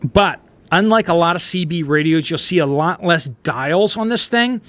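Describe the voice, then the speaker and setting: Higher-pitched man, casual gear review. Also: normal speed, fairly bright tone, no echo. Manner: plain-spoken, informal layman's explanations. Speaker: host of a prepper radio review channel. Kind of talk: casual product review, explaining to viewers.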